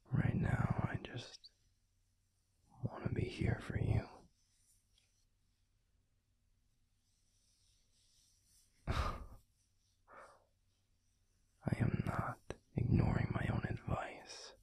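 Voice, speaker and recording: A man voicing a gentle, protective alpha werewolf boyfriend in an intimate ASMR roleplay, murmuring slowly and haltingly in a breathy, gravelly near-whisper. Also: lightly acted. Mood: tender, hesitant. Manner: slow, halting, soothing murmur with pauses.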